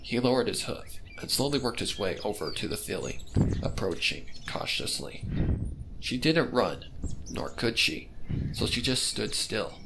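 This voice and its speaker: A man's voice: fairly low pitch, sing-song, a little slow, creaky. A man reading fan fiction aloud as a scripted narrator. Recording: heavy background noise with birdsong.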